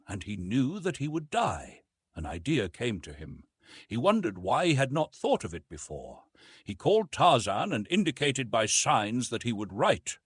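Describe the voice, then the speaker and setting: Male audiobook narrator, middle pitch with very lively movement, husky tone, dry close recording. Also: dry recording. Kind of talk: scripted narration of an adventure novel.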